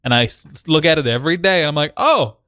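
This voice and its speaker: A man co-hosting an improv comedy show, trading casual banter and quick to riff playfully. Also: on the high side, sharp tone, smooth, no echo, studio quality.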